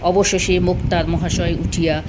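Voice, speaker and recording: A woman reading a literary short story aloud in an expressive storytelling style. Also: on the low side, normal speed, background noise.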